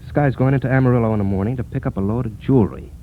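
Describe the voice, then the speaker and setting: A man's voice: mid-range voice, very fast, lightly acted. An actor, a man, playing a cocky, scheming young parole jumper with slangy talk in a 1940s radio drama. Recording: narrow, noisy 1940s radio recording.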